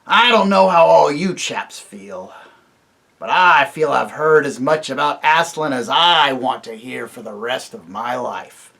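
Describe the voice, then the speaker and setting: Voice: medium pitch, lively intonation, a little slow, vocal fry, sharp tone, heavily acted. A man voicing a surly, sneering male dwarf, blunt and jeering, in a storybook reading. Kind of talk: audiobook reading.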